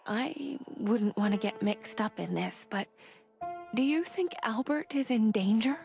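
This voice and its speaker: An earnest polite asylum receptionist in a noir game, voice a bit high, soft music under. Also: female voice, expressive, measured pace, vocal fry. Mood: worried. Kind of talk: film-noir game voice acting.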